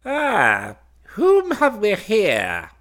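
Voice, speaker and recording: Pompous royal bird, high voice, extremely animated melody, self-admiring, grandiose, storybook fairy tale. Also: male voice, drawn-out words, exaggerated voice. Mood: curious, stately. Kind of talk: storybook character voice.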